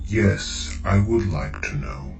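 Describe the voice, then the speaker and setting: A man voicing a menacing, demanding cybernetic being in an amateur sci-fi audio drama, terse and deliberate. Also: low voice, expressive. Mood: cold, demanding. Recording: echoey, distant, under loud music.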